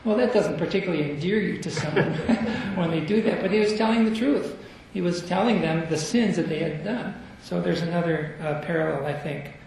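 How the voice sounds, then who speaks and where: Gravelly man leading a Bible study. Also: on the high side, a little fast, few pauses, darkish tone, slight background noise. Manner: asks the group questions, chuckles often. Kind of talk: group Bible study discussion. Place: meeting room at a convention. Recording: echoey room, far from the mic.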